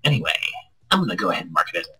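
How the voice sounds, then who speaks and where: This is a man with a very raspy, strained voice that sits fairly low and cuts through sharply. He reads a visual novel aloud as a streamer, expressive and crude, slipping into casual banter and tripping over lines. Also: very fast, soft background music, poor audio. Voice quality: husky, strained throat.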